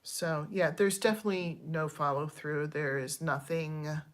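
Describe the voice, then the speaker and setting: Woman, 50s, livestream host; low-ish pitch; candid, rambling, unpolished chat. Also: steady tone, measured pace. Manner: chatty and digressive, thanking viewers mid-story; candid, frustrated complaints with frequent ums. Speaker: channel host.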